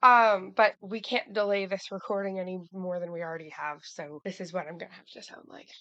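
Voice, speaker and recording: A woman co-hosting a casual podcast chat, hoarse from a cold, talking in a chatty way with lots of restarts. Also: fairly low pitch, fairly even intonation, fairly quick, bright, forceful tone, no room sound, dull audio. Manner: chatty, many fillers and restarts. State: hoarse from a cold, losing her voice. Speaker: podcast co-host.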